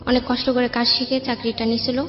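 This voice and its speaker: A woman's voice: fairly high pitch, little pitch movement, a little fast. A woman from Bangladesh with a Bangladeshi accent, telling her personal life story as an interview guest. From Bangladesh, raised in Dhaka. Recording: muffled, echoey room with music bed.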